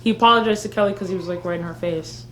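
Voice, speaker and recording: A woman's voice: medium pitch, rapid-fire. A woman co-hosting a casual live fan vlog, blunt and sweary as she rants. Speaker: vlog co-host. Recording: noisy live-stream audio with hum.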